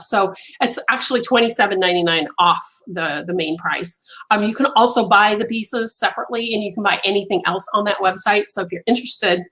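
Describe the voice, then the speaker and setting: A woman hosting a casual crochet live stream from home, chatty with many ums and pauses, her voice going hoarse and interrupted by throat clearing. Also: medium pitch, fairly bright tone, poor audio. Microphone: phone on a mount.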